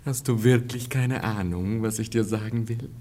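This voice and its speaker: An actor playing an ardent, playful servant wooing a maid in a theatrical German radio comedy. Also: male voice, lowish voice, steady tone, normal speed, dark tone, close to an everyday voice. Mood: coaxing, smitten. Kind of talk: German radio play acting, stagy comedy.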